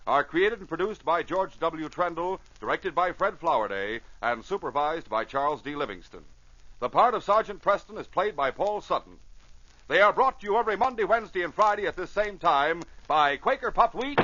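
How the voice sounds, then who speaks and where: Bright, animated vintage radio announcer, a man. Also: fairly high pitch, no room sound. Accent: North American. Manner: emphatic, theatrical delivery. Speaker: announcer and narrator. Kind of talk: dramatic 1940s-50s radio narration and sponsor reads. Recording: muffled old radio with faint noise.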